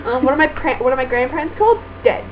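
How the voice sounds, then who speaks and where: A woman's voice: sits high, animated, quick pace. A young woman vlogging casually, goofy and self-mocking, also reading aloud from a book. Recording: muffled webcam audio with mains hum.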